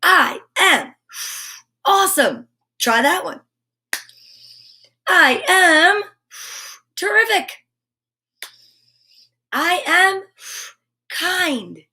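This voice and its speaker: A woman's voice: very high voice, expressive, extremely slow, pauses often, bright, forceful tone. A woman hosting a live children's story show, effusive and encouraging, chatting with playful asides and reading aloud.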